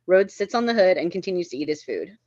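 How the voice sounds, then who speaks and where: A woman's voice: on the low side, sing-song, quick pace, darkish tone, no room sound, highs cut off. A woman reading stage directions at a live script table read, informal and lightly amused.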